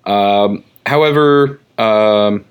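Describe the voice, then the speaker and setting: A young man co-hosting a comic book podcast, rambling in casual chat with many fillers and quick laughs. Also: lowish voice, clean tone, lo-fi sound. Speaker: podcast co-host.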